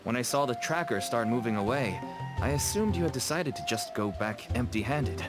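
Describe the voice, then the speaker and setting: A man's voice: medium pitch, animated, normal speed, natural voice. An actor playing a nervous, ingratiating merchant father with a shady trade in a video game. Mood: cautioning. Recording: game music behind the voice.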